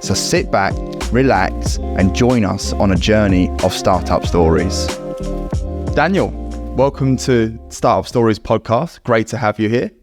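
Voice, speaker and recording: A man's voice: fairly low pitch, a little slow, over music. A man hosting a podcast, reading a scripted intro and then asking encouraging interview questions. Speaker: podcast host.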